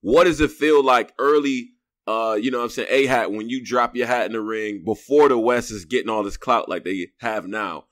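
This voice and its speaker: A man's voice: mid-range voice, moderate pace, a bit bright, dry recording. Slangy male host, dry sound. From born in Houston, raised in Rochester, New York. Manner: loose, slangy, rambling questions. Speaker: interview host. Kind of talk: casual interview chat.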